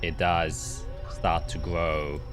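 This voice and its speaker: A man narrating a tabletop fantasy game as game master, in a halting, descriptive storytelling manner. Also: sits low, little pitch movement. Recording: music playing behind the voice. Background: loud background music.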